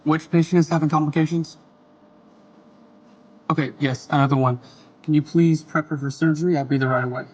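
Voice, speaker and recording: An actor playing a reserved, kindly male surgeon in an audio drama, soft-spoken, polite and a little hesitant. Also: on the high side, fairly even intonation, a little fast, pauses often, muffled recording, natural voice. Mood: businesslike.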